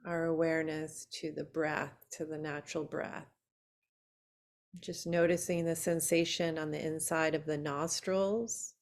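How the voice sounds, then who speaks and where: A woman teaching yoga nidra, guiding a relaxation meditation in a soothing, unhurried way with long pauses between short phrases. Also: lowish voice, a little mellow.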